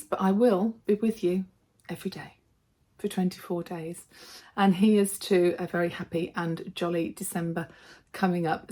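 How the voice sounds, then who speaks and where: Slightly hoarse, mellow woman vlogger. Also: mid-range voice. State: slightly hoarse, losing her voice from a throat infection. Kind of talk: casual vlog chat to viewers.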